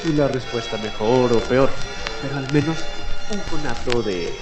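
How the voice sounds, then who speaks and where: Echoey solemn radio mystery newscaster guy acting it, slow dramatic pauses, music playing under him. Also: mid-range voice, lightly acted. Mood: solemn, deliberate. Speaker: radio host. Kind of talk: scripted mock radio news narration.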